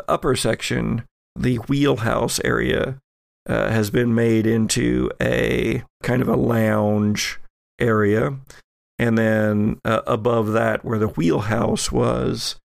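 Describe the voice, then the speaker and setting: A man narrating improvised scenes as game master, in a measured, descriptive way with pauses. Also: medium pitch, creaky, no room sound, studio quality. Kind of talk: improvised scene narration for actual-play podcast.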